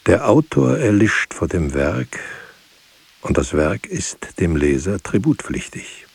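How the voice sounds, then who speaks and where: An actor playing a brooding, weary writer who speaks poetic radio drama monologue in a deliberate, halting way. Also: male voice, very animated, heavily acted. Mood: grave, reflective. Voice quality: gravelly, husky, low. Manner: deliberate, halting, hushed at times. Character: brooding, weary male writer pondering word and truth.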